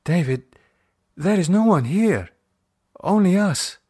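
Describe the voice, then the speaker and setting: A man voicing a calm, concerned woman in a horror audiobook, gently and patiently reasoning with a madman. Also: sits high, slow pace, husky, voice pushed up, exaggerated voice. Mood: puzzled. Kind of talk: audiobook character voice.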